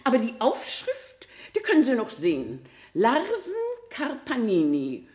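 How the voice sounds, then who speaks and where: Radio play casting: chatty, unshakeable middle-aged female witness, self-declared clairvoyant, highly animated delivery. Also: unhurried. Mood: eager, insistent. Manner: emphatic, matter-of-fact, unshakeable. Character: stubborn, chatty self-declared clairvoyant woman testifying in court. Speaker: witness in court. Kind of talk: 1970 German radio play acting.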